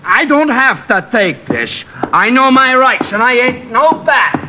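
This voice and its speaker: Whiny radio police sidekick. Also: male voice, extremely high pitch, lively intonation, a little slow. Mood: aggrieved. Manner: whining, indignant. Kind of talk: comic radio drama acting. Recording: muffled, noisy old radio recording.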